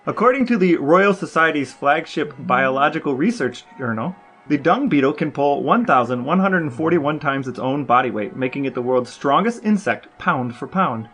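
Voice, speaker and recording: A man's voice: fairly high pitch, expressive, moderate pace, a bit bright, faint music behind. A man co-hosting a casual comedy podcast, asking questions in a droll, mock-serious way. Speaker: podcast co-host and interviewer.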